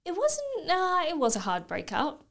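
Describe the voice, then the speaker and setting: A woman's voice: very high-pitched, huge pitch swings, normal speed. A woman in her thirties with a British accent, talking candidly and reflectively about her own life as an interview guest. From United Kingdom. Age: 30s. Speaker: podcast guest. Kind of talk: reflective personal conversation.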